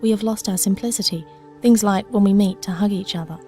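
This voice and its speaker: A woman's voice: mid-range voice, a little fast, mellow, soft background music, natural voice. A young woman playing an earnest, idealistic, old-souled girl with formal wording in an audio drama. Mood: earnest, reflective. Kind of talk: scripted audio drama dialogue.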